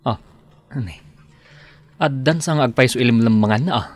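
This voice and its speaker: A man's voice: mid-range voice, normal speed, close to an everyday voice. A man acting a wary, level-headed family man. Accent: Ilocano. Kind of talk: Filipino radio drama acting.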